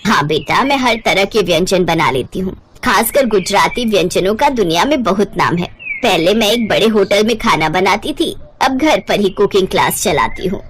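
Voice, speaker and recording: A woman voicing a kindly elderly auntie in an animated storybook tale, talkative, affectionate and reassuring. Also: medium pitch, moderate pace, a little hiss or hum, close to an everyday voice. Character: kindly elderly Gujarati woman, chatty cooking teacher.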